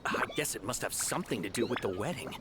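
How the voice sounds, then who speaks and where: A man's voice: mid-range voice, lively intonation, fast talker, background noise, lightly acted. An actor playing a townsman passing on rumors in a video game. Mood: concerned.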